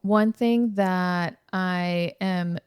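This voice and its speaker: Woman podcast host, crisp voice, dry recording, chatty and encouraging interviewer. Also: lowish voice, steady tone, very slow, a little mellow, dry recording. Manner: affirming, informal, encouraging listener. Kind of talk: casual conversational interview.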